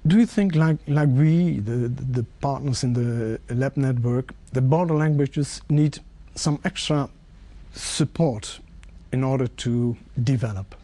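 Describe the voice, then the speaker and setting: A man whose first language is Dutch, interviewing an official in a measured way with halting restarts. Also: mid-range voice, dark tone. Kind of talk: formal interview question.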